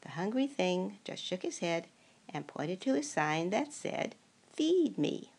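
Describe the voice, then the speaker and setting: An older woman with a gravelly voice reading a children's picture book aloud in an expressive, animated way. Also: lowish voice. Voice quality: gravelly, creaky. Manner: slow, expressive, acts out dialogue. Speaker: storybook reader.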